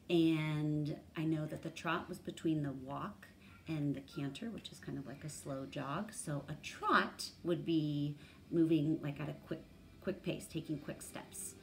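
A woman in her thirties with a low, creaky voice reads a story aloud for children. She steps out of the text to explain and reason through it in an instructional way. There is faint noise behind her in a room at home. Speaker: read-aloud teacher. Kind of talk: animated children's story reading with word explanations. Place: small room at home, indoors.

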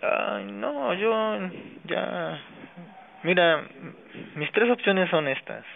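A Mexican man talking informally on a phone call, slangy, halting and resigned. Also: sits high, animated, creaky. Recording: noisy, narrow-band phone call.

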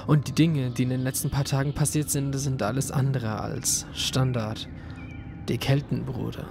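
A man's voice: medium pitch, level tone, moderate pace, creaky, noisy background, lightly acted. A young man acting a wary male student exploring haunted places in a horror audio drama. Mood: focused. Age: young adult. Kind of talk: German horror radio play acting.

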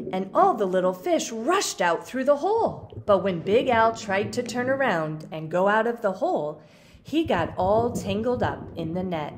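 Slow, lively woman reading a picture book. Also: a little high, background noise. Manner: dramatic pauses and emphasis for young listeners. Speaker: storybook reader. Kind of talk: expressive children's picture-book read-aloud.

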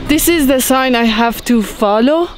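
Travel vlogger, woman, outdoors on a trail, slow and upbeat, music bed behind. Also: a little high. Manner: enthusiastic, exclaims at views. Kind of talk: casual vlog narration while hiking.

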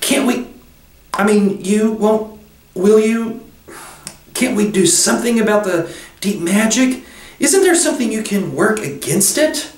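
Man voicing an anxious, high-pitched young girl. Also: lively intonation, a little slow, pauses often, echoey room, recorded from a distance. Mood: anxious pleading. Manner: hushed, hesitant, pleading.

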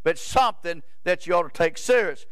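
A man's voice: high-pitched, lively intonation, a little fast, clean tone, dry recording, clean studio sound. A man, preaching a rambling, folksy sermon in a church sanctuary and often stopping to ask "Amen?". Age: 70s. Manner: rambling, repeats words, asks "Amen?" of the crowd.

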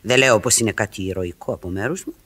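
A woman's voice: extremely low pitch, fairly bright tone, slightly rough, exaggerated voice. An actress playing a flirtatious, proud married society woman in Greek radio theatre, coy, teasing and theatrical. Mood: playful, defensive.

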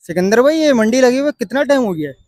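A man in his thirties from Pakistan with a Karachi Urdu accent, filming a casual walkthrough of an outdoor cattle market on his phone and pressing sellers for lower prices. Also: sing-song, fast, darkish tone, no echo, clean studio sound. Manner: chatty, pushes for a lower price. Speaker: vlogger.

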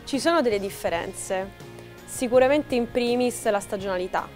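A young woman from southern Italy, a company representative, gives measured, explanatory answers in a studio interview on a lapel mic. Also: a little high, a little mellow, soft background music. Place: TV studio set.

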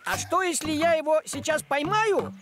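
An actor voicing a grumpy, fussy, thrifty tomcat in an animated series, with indignant, sarcastic grumbling. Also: sits high, moderate pace, a crowd in the background, lightly acted. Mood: wary, reluctant.

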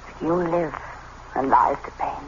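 A woman playing a condemned wife, resigned and coldly pitying, speaking in a quiet, measured way in a radio play. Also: low-pitched. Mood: resigned, bitter. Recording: noisy, dull old radio recording.

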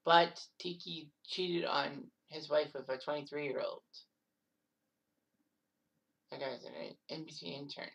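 A woman in her thirties narrating sports history casually, rambling with offhand asides and quick self-corrections. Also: sits low, steady tone, a bit bright, recorded from a distance, poor audio. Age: 30s.

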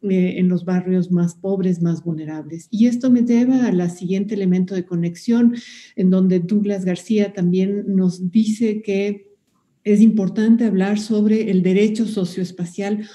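A woman moderates a conference panel in a calm, measured way. Her voice is very dark and mellow, at a middle pitch. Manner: measured, handing the floor to others.

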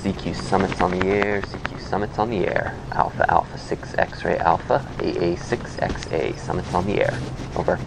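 American guy doing ham radio calls outside, low fry in his voice, clear outdoor noise. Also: normal speed. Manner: repetitive, procedural calls. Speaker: amateur radio operator. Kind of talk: ham radio calling, spelled call signs. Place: outdoors on a hilltop.

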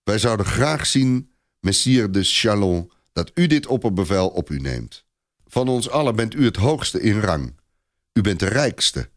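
A man's voice: fairly low pitch, sing-song, measured pace, voice pushed up. A man voicing a haughty, self-satisfied French viscount in a story, with a proclaiming manner. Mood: solemn, flattering.

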